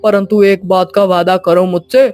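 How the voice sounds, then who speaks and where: A man playing a doting, protective old grandfather in an animated story, speaking with affectionate reassurance. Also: high-pitched, moderate pace, no room sound, soft background music, lightly acted. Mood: reassuring. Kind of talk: animated moral story dialogue.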